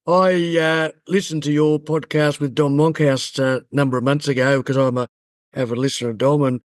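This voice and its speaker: A man hosts a podcast interview in a friendly way, stopping often on fillers. His voice is fairly high for a man. The sound is dry and close. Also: little pitch movement, dry recording. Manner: friendly, halting with many fillers. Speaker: podcast host. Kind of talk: casual interview chat.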